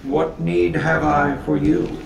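Game villain: arrogant machine, deliberate delivery, gravelly edge, reverberant, score underneath. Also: male voice, fairly high pitch, level tone, background noise, exaggerated voice. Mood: smug, threatening. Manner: smug, deliberate, condescending. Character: arrogant, cold robot overlord. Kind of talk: dramatic video game villain acting. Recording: echoing voice, dramatic score behind.

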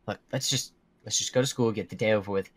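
Man as earnest low-voiced young werewolf student. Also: fast, close to an everyday voice. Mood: serious, reassuring. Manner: conversational, hesitant, earnest. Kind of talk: casual scripted Minecraft roleplay.

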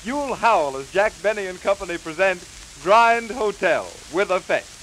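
High-pitched 1930s showman announcer, man. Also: expressive, fairly bright tone. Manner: brisk, theatrical showman's hype. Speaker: promotional announcer. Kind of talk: 1930s scripted film and record promotion. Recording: hissy, crackly 1930s 78 rpm record. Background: record hiss and crackle.

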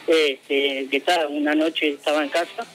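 Guy on a radio call-in, kinda high voice, lots of "este", faint music behind. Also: level tone, moderate pace, fairly bright tone, rough recording. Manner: hesitant, many fillers. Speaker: caller.

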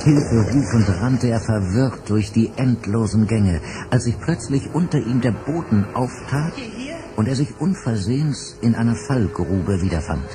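A man's voice: mid-range voice, expressive, unhurried. A man narrating an adventure radio play with dramatic storytelling. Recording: music bed under narration.

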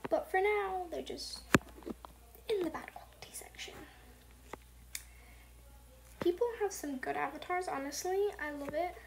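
A young woman hosting a casual gameplay video, talking softly and half-whispered, rambling and apologizing, recorded at home. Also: very high voice, unhurried, gravelly, pauses often, background noise. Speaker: gaming channel host. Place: home with family noise.

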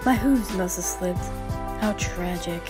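A woman's voice: on the low side, unhurried, over music, natural voice. A woman voicing a cool, sarcastic young pegasus in a cartoon audio drama, taunting with dry mock innocence. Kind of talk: animated fan audio drama.

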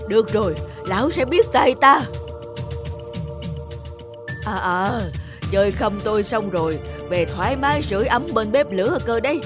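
A sly, fat old neighbour from a cartoon folktale acted out by a woman. He is lazy and grumbles, scheming and blustering over a clear music bed. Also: a little high, natural voice. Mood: indignant, vengeful. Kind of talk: cartoon folktale character acting.

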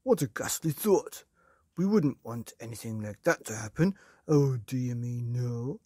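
A woman's voice: low-pitched, wildly swooping pitch, normal speed, warm tone, breathy edge, no echo, studio quality, full character voice. A woman voicing a nasty scheming old husband who speaks in a sly, falsely sweet way in a storybook reading. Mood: mock concern.

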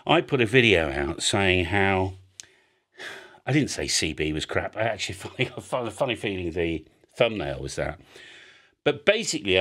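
British male video host, highly varied intonation, casual explainer with an emphatic, blunt, rambling delivery. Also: fairly bright tone. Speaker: amateur radio video host.

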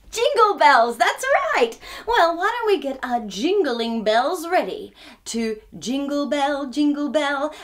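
A woman's voice: sits high, fairly bright tone. A woman in her thirties hosting storytime for young children, talking in a playful, exaggerated sing-song way. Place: small furnished room, indoors.

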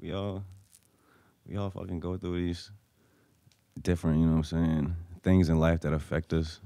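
A man's voice: sits low, little pitch movement, moderate pace, vocal fry, dark tone, dry recording. A young American man hosting a casual podcast chat, talking informally with slangy tags, close on the mic. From born in Bosnia, grew up in the US from age four. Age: young adult. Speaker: podcast host. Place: small podcast room with couches.

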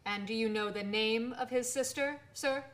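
A woman's voice: a little high, normal speed, a bit bright, lightly acted. A woman in a radio drama playing a dutiful police inspector, asking questions in a clipped, formal manner. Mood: dry suspicion.

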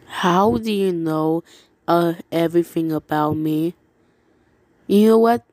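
A woman's voice: fairly low pitch, slow pace, darkish tone. A woman giving theatrical, exclamatory reactions as a player in a horror parody.

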